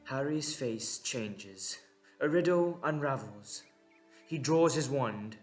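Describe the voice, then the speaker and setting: A man narrating a dramatic story in short, measured, suspenseful phrases. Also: mid-range voice, animated, soft background music.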